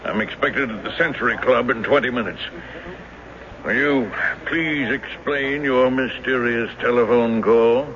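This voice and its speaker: A man's voice: mid-range voice, measured pace, a bit bright, natural voice. Guy acting a curt formal businessman, impatient type, old radio drama, muffled w some noise. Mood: stiff, impatient.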